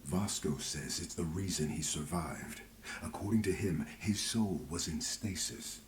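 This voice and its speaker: An actor playing a grave, weary fantasy healer in a video game, speaking in a measured, urgent way. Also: male voice, low-pitched, roomy sound, slight background noise.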